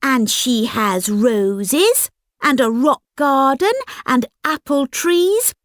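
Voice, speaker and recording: A woman's voice: high-pitched, lively intonation, slow pace, dry recording, clean studio sound, voice pushed up. A woman voicing a sweet, trusting little fairy-tale girl with an innocent, eager manner. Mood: chirpy, eager.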